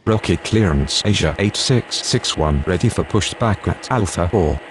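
Man doing sober pilot radio calls for a game, low pitch, faint engine noise, very by the book. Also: lively intonation, normal speed. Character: adult male pilot and controller, sober, procedural. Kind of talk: clipped aviation radio phraseology. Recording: faint outdoor vehicle noise.

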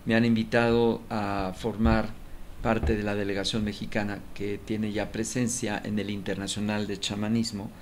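A man's voice: fairly low pitch, flat delivery, moderate pace, slight background noise. A middle-aged man hosting a studio talk show, eagerly chiming in and turning formal when he presents. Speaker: TV host. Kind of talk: casual studio conversation. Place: small TV studio.

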